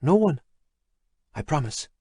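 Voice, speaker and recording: A man voicing a bashful young man in an audiobook who is eager to please. He stammers and fumbles in a flustered, raised voice that jumps around in pitch. The sound is dry and close. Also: sing-song, unhurried, dark tone, slightly rough, dry recording, full character voice.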